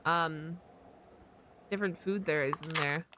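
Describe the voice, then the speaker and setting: A woman streamer giving casual, slangy live reactions in an expressive, exclamatory way. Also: deep voice, unhurried, background noise.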